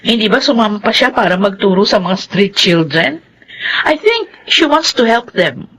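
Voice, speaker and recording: A woman's voice: medium pitch, sing-song, moderate pace, fairly bright tone. An actress playing a happy, supportive middle-aged mother in a radio drama, with a Filipino accent. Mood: pleased, curious. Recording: faintly noisy radio recording, ambience behind.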